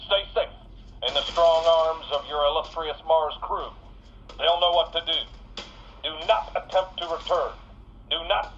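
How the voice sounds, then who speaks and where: An actor playing a condescending space agency general, patronizing and pompous, in a degraded radio message for a sci-fi audio drama. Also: male voice, on the high side, fairly even intonation, unhurried, pauses often, fairly bright tone. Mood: stern, patronizing. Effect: degraded radio transmission. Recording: muffled, noisy radio transmission.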